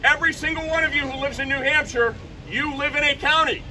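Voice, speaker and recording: A man giving a fiery political rally speech outdoors, loud and punchy, shouting his slogans. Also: very high-pitched, steady tone, fast talker, recorded from a distance, slight background noise, low-quality recording. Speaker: rally speaker. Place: outdoor rally by a state capitol.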